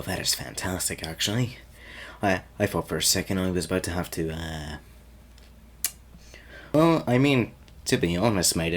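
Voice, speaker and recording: A man playing an easygoing, teasing young guy sweet on his friend, talking casually and haltingly in an audio roleplay. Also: deep voice, a little hiss or hum. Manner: halting and stammering, chuckling, casual slangy speech.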